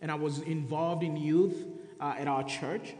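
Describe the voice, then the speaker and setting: A man's voice: on the high side, fairly even intonation, unhurried, a little mellow. Kenyan male preacher in his thirties, earnest testimony, roomy sanctuary sound. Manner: earnest testimony, reading scripture aloud. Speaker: guest preacher. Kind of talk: sermon. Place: church sanctuary. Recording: echoey church hall.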